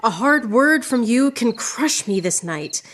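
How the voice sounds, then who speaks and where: An actress playing a witty, proud, lovelorn woman poet in radio theatre, speaking in a theatrical, yearning way with drawn-out phrases. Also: on the high side, animated, fairly bright tone, a little hiss or hum. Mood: earnest, yearning. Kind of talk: poetic radio theatre acting.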